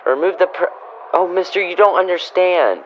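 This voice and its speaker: A man's voice: sits high, moderate pace, natural voice. A man playing a naive, flustered teenage boy who stammers and whines in an old-time radio comedy style. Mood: flustered, pleading. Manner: whiny, stammering protests. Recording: dull, band-limited, with clear background noise.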